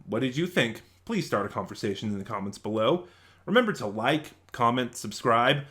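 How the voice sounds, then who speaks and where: A man with a mid-pitched voice hosts a review channel and speaks casually to his viewers. He talks in short, halting bursts with a conversational, unscripted feel. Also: fairly bright tone. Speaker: video reviewer and channel host.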